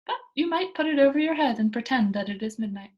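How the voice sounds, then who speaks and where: A woman's voice: sits high, animated, quick pace, dull audio, voice pushed up. A woman voicing a bold, cheerful young girl adventurer from a children's storybook, with a breezy, boastful manner. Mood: matter-of-fact. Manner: breezy, boastful, matter-of-fact. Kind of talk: children's storybook character read aloud.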